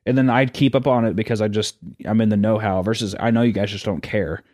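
A man co-hosting a casual podcast, talking earnestly and rambling with many restarts, with a gruff-sounding voice. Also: on the low side, fairly even intonation, fast, a little mellow. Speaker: podcast co-host, animator.

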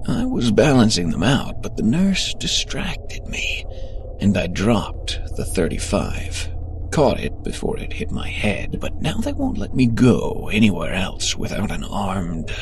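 A man playing a haunted physicist in his thirties confined as a patient, dazed and halting, in a horror audio drama with a gravelly, hushed delivery. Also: on the low side, background noise, heavily acted. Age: 30s. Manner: halting, dazed, intense, breaking into hushed tones. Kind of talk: first-person horror audio drama narration.

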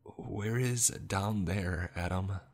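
A man's voice: low-pitched, fairly even intonation, slow pace, husky, exaggerated voice. Man acting a guilt-ridden, pleading survivor. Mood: shaken. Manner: faltering, shaky, pleading.

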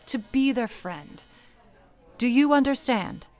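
A woman's voice: high-pitched, lively intonation, a little mellow, soft background music. A woman playing a composed, motherly switchboard supervisor, formal and authoritative, in an old-time radio play. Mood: earnest, motherly. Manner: formal, measured, authoritative, coaxing.

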